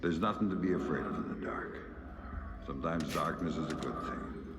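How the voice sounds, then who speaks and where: A man playing a middle-aged ex-spy in a video game, with a gruff, gravelly voice. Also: extremely low pitch, normal speed. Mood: gentle, reassuring. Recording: echoey, noisy game scene ambience.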